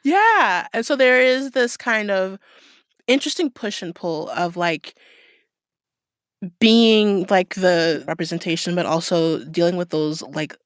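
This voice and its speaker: A woman's voice: fairly low pitch, animated, a little slow, dry recording, clean studio sound. A woman hosting a podcast interview in a casual, playful and informal conversational style. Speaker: podcast host interviewing an author.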